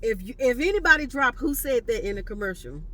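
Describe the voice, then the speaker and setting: A woman's voice: fairly high pitch, very animated, fairly quick, pushed and bright. Chatty woman in her late thirties. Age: late 30s. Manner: chatty, loose and playful, slangy asides. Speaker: vlogger. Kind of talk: casual talk to camera.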